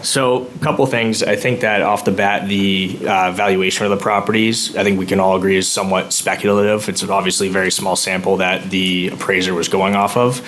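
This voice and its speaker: A man speaking at public comment in a town meeting room, nervous and halting, with many ums. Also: deep voice, flat delivery, fairly bright tone, slight background noise.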